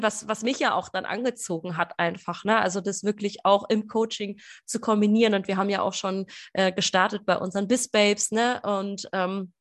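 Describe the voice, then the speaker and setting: A woman co-hosting a podcast as a business coach, chatting casually and enthusiastically, with many fillers. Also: mid-range voice. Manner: enthusiastic, gushing, lots of fillers.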